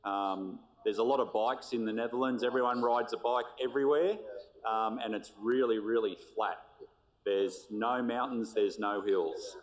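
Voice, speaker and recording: A middle-aged teacher answers questions at a school event, chatty and unhurried. His voice sits a bit low for a man, and he fills pauses with relaxed "um"s as he goes. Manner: easygoing and chatty, with frequent "um" fillers. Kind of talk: casual vox-pop interview answers.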